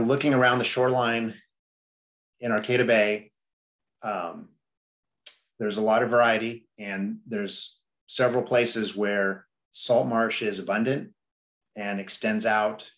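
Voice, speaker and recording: A middle-aged man presenting a planning study to a public meeting over a video call, measured and explanatory, with frequent "um" and "you know". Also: lowish voice, fairly bright tone. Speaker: district staff presenter.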